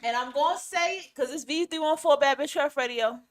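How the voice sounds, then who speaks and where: A woman's voice: sits high, fairly quick. A woman hosting a livestream podcast and reacting to a video, talking bluntly and with profanity. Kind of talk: casual livestream commentary.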